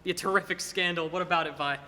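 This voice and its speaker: A young man acting a restless, defensive small-town man in a stage radio play, stammering and halting as he goes. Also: high voice, steady tone, sharp tone. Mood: eager, dreamy. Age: teenager or young adult. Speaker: high school student actor. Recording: echoey hall with background hiss.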